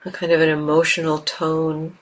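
A woman teaching guided meditation, speaking gently and unhurriedly in short phrases with long pauses. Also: low voice, flat delivery, slight background noise. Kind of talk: guided meditation, unscripted instruction.

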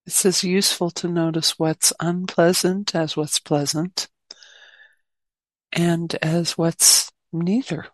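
A woman leading a guided meditation as a teacher, speaking gently in spacious phrases with long pauses. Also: fairly low pitch, level tone, no echo.

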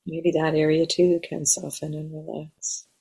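A woman leading a guided meditation in a gentle, measured way, leaving long pauses. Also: sits low, level tone, creaky, breathy edge. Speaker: meditation teacher. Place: meditation center.

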